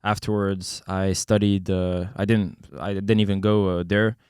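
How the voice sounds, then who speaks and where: A young man with a low-pitched, smooth, resonant voice hosts a casual podcast conversation. He talks in a relaxed way, often laughing and pausing on fillers. The sound is close and dry, with no room echo. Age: young adult. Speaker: podcast host.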